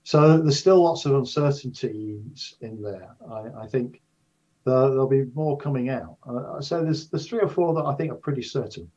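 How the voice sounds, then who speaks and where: An older man speaking as an expert guest in an interview, explaining carefully in a measured, unscripted way with restarted phrases. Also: medium pitch, mellow, muffled recording. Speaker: interview guest, astrophysics professor.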